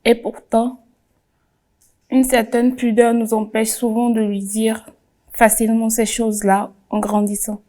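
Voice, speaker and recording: A woman's voice: on the high side, level tone, mellow. A woman pageant candidate reading a heartfelt letter aloud, solemn and tearful. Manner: slow, solemn, emotional reading.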